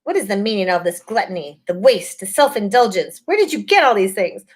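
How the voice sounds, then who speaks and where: A woman voicing a cruel, commanding witch queen who questions and shouts in a haughty, demanding way. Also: mid-range voice, sing-song, a little fast, slightly rough, voice pushed up. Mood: menacing.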